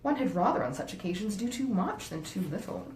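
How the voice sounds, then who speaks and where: In a roomy space, a woman narrator plays a grave, well-meaning gentleman from a storybook classic. He deliberates earnestly and a little pompously, in a mellow voice with lively intonation. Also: close to an everyday voice. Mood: self-satisfied. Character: grave, well-meaning but easily swayed gentleman husband. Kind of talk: acted dialogue in a classic novel reading. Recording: roomy echo, faint rumbling noise.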